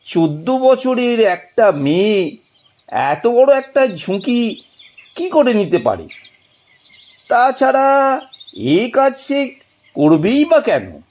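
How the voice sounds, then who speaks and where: A man narrating a detective story in the first person, theatrical and suspenseful, with deliberate phrasing. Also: very high-pitched, very animated, a little mellow, lo-fi sound.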